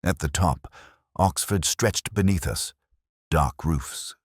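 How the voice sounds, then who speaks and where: A man playing a brooding, melancholy police detective, narrating his story in a measured, solemn, literary manner. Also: low-pitched, slightly rough, dry recording, clean studio sound, full character voice. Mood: brooding, reflective.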